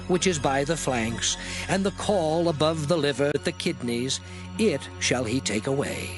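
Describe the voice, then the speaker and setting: An actor playing a solemn lawgiving voice in a dramatized Bible reading, declaiming scripture. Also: male voice, fairly high pitch. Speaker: scripture reader. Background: music under the voice.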